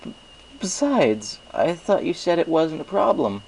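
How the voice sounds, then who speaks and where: A man plays a self-conscious storybook pony, awkward and unsure. The speech is halting and nervous, with a creaky edge and faint noise underneath. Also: fairly high pitch, sing-song, darkish tone, voice pushed up, exaggerated voice, rough recording. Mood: nervous, pleading.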